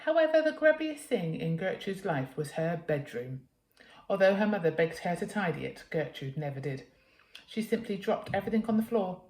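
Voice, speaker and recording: A British woman reads a children's storybook with a teacher's expressive delivery. Her voice sits a little low. The recording has the echo of a reflective room. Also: normal speed. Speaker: teacher reading a story to pupils. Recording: echoey room.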